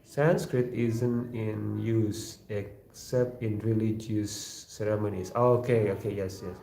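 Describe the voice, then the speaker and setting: A man from West Java whose first language is Sundanese, chatting casually on a livestream, reading viewer comments, with halting speech, many fillers and frequent laughs. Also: on the low side, fairly even intonation, vocal fry, dark tone, roomy sound. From Indonesia, West Java. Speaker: livestream host.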